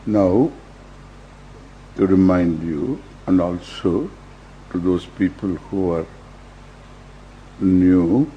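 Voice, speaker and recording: Very slow, low-voiced older Indian man. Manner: measured, halting short phrases with long pauses. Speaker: meditation teacher, swami. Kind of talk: guided meditation, slow instruction. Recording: dull lo-fi recording with faint hum.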